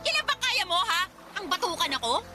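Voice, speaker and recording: Very high-voiced, defiant Filipino mother. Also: sing-song, fairly quick, over loud music. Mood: taunting, defiant. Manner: sarcastic, taunting, exasperated. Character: defiant, fed-up Filipino mother, sharp-tongued daughter. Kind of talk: Tagalog radio drama acting.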